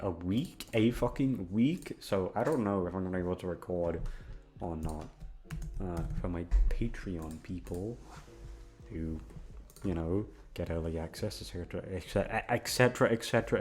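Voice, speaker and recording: A man's voice: low-pitched, darkish tone. A young man rambling in a casual chat, with a goofy, self-deprecating and sweary way of talking. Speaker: audio content creator. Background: background music.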